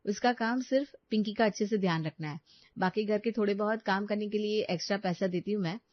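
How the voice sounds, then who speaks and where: A woman's voice: mid-range voice, fast, no room sound, muffled recording, lightly acted. Woman plays young working daughter-in-law, cartoon story; polite yet exasperated, chatty, dry close sound with dulled top. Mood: weary, patient. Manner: complaining, chatty.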